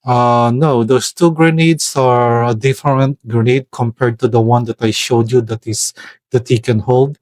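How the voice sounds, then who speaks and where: Male toy reviewer, mid-pitched, unhurried and careful, stops to hunt for words; dry, close sound. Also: fairly even intonation, a little mellow, no room sound. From Philippines. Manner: hesitant, searching for words, careful. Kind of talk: product review voice-over.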